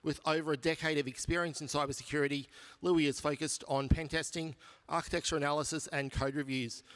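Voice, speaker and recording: A man's voice: a little high, steady tone, fairly quick, fairly bright tone, clear, resonant tone. Man introducing the keynote speaker. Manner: formal, reads a prepared bio. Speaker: conference host. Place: conference hall stage.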